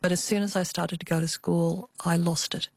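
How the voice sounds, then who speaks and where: An older woman with an Australian accent, telling personal memories as an interview guest in a thoughtful, expressive way. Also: fairly low pitch, moderate pace, creaky, no room sound. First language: Cantonese. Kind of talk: reflective personal storytelling.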